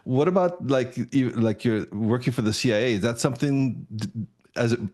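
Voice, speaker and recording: A man's voice: mid-range voice, normal speed, a little mellow. Friendly man hosting a podcast. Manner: friendly, many uhs and restarts, laughs often. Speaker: podcast host. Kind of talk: casual interview hosting.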